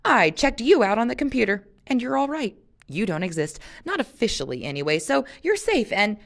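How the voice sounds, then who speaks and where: A woman's voice: a little high, sing-song, brisk, vocal fry, voice pushed up. A woman voicing a chatty, flippant young girl in an audiobook-style reading, confident and bouncy. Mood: breezy. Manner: bouncy, emphatic, rattles from topic to topic.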